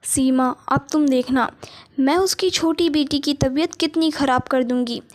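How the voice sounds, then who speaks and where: A woman voicing a kind but vengeful witch in a storybook, calm and firm. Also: high-pitched, unhurried. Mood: reassuring.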